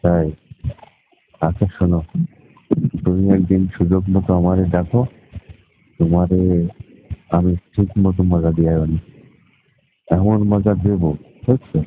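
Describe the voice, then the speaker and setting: A man's voice: sits low, little pitch movement, a little slow, long pauses. A man chatting casually and flirtatiously on the phone in a coaxing, suggestive manner. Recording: tinny phone line, faint noise.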